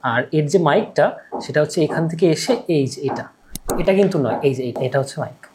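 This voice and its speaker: Guy vlogging about bike stuff, talks super fast, kinda high voice, chatty. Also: expressive, noisy background. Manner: rapid, chatty, asks short follow-up questions. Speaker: vlogger host. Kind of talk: casual interview vlog.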